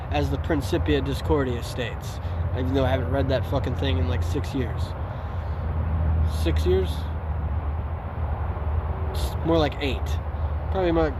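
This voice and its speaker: A man with a slightly high voice hosts a solo podcast. He swears freely, wanders off on tangents and circles back over his own words. The recording carries clear background noise, like vehicle or outdoor ambience. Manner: profane, digressive, self-interrupting, repeats words. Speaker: podcast host. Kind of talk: rambling solo podcast monologue.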